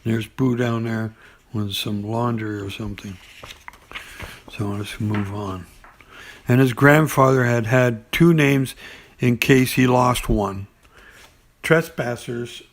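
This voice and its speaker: A man reading a children's bedtime story in an unhurried, halting way, with pauses and small stumbles. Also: mid-range voice. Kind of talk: bedtime story reading with asides and character voices.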